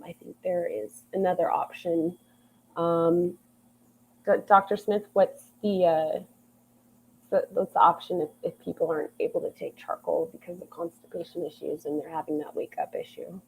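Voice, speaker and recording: A woman's voice: on the low side, darkish tone, slight background noise. A woman joining a livestream by audio only as guest expert, answering viewer questions hesitantly with many ums. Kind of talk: casual Q&A over audio call. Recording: tinny phone-like audio line.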